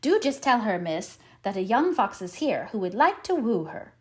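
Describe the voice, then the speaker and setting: Woman reading a polite, animated young fox suitor. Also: medium pitch, normal speed, natural voice. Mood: eager. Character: polite young male fox eager to woo. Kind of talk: storybook read-aloud character voice.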